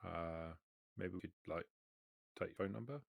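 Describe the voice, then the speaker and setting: A man's voice: sits low, normal speed, vocal fry, dry recording. A man playing in a tabletop roleplaying game, chatting casually in character. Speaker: player.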